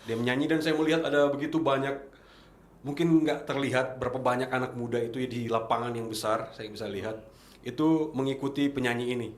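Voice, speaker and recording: A man in his late twenties from Manado, a podcast guest telling his story in an earnest, reflective way with pauses. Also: medium pitch, steady tone, echoey room. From Manado, Indonesia. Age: 20s. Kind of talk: casual testimony.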